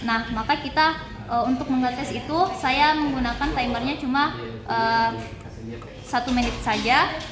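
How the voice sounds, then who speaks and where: High-voiced young woman in an echoey room. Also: steady tone. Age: young adult. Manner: halting, step-by-step explaining. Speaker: physiotherapy student demonstrating equipment. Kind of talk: spoken practical demonstration. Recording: echoey room with loud background chatter.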